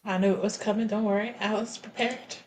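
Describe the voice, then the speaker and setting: A woman's voice: mid-range voice, level tone, fairly quick, slight background noise. A woman playing a tabletop roleplaying game, thinking aloud informally as she describes what her character does. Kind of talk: casual improvised roleplay chat. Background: background music.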